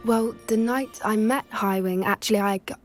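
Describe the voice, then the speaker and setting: An actress playing an earnest young female student who loves magical creatures, speaking in a soft, thoughtful, sometimes hesitant way in video game dialogue. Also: medium pitch, steady tone, moderate pace, soft background music, lightly acted. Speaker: game character.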